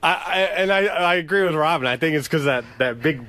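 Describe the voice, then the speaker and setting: A man chatting casually in a halting, stammering way, repeating his words. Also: fairly high pitch, bright, forceful tone. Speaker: radio show staffer. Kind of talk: casual chat.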